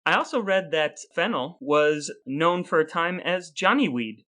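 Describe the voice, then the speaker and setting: A man's voice: a little high, expressive, measured pace, pushed and bright. A man co-hosting a casual podcast chat, playful, quizzing and joking with his co-host.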